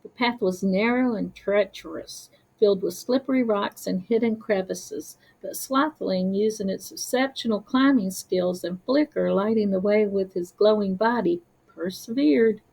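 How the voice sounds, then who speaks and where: A woman narrating a children's story as a read-aloud, careful and expressive. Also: mid-range voice, unhurried, gravelly, few pauses, low-quality recording.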